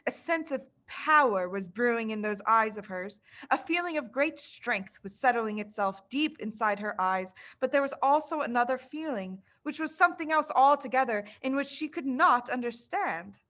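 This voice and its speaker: A woman narrates a children's book in a bright, fairly high voice. She reads dramatically, placing her phrases deliberately to build suspense. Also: lively intonation. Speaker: audiobook reader.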